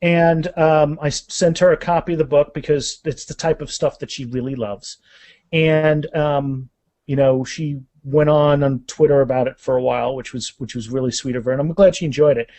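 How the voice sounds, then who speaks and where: A man's voice: on the high side, fairly even intonation, moderate pace, dark tone, no echo. A man speaking as an author and interview guest, telling anecdotes in a casual, rambling conversational way.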